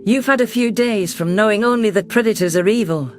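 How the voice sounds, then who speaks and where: High-voiced male sci-fi narrator. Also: fairly quick, soft background music. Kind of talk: first-person sci-fi audiobook narration.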